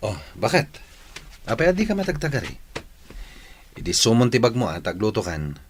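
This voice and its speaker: Animated, low-voiced young Ilocano husband played by a man. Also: moderate pace, breathy edge, full character voice. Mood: casual, bossy. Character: young husband, breezy, bossy and thrifty. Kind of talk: Ilocano comedy drama acting.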